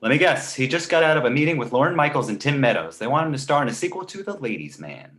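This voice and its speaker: A man playing a precocious talking baby boy, sardonic, indignant and pedantic, in an animated comedy table read. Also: medium pitch, quick pace. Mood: cocky, teasing. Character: precocious, snarky two-month-old talking baby boy.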